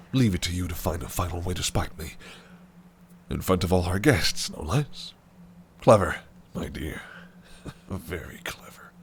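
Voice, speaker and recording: A man playing a deep, gravelly fae prince. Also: slight background noise, heavily acted. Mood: angry. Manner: silky, archaic, coaxing turning menacing. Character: possessive, scheming male fae prince bridegroom. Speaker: voice actor. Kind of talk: audio roleplay acting.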